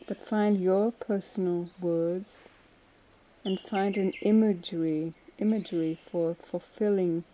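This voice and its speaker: A woman with a very soft, dark and relaxed tone guides a mindfulness meditation. She speaks slowly and soothingly, leaving gentle gaps between phrases, in a slightly low voice. Insects chirp audibly behind her. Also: slight background noise. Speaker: meditation teacher.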